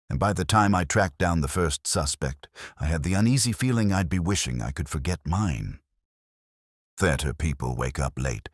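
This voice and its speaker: A man's voice: extremely low pitch, slightly rough, no room sound, clean studio sound. A man reading a scripted first-person detective story in a wry, hardboiled storytelling manner. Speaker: audiobook narrator.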